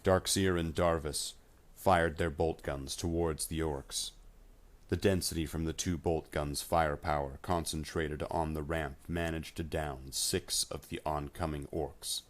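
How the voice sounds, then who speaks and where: A male storyteller reads dramatic fiction in a very deep voice. His delivery is slow and measured, with deliberate phrasing throughout. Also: steady tone. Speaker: narrator. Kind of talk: scripted dramatic story narration.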